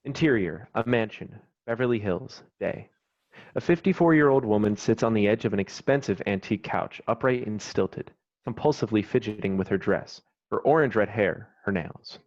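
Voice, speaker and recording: A man's voice: fairly low pitch, moderate pace, darkish tone. A man reading stage directions as scripted narration for a screenplay table read. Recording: slightly dull, lo-fi online recording.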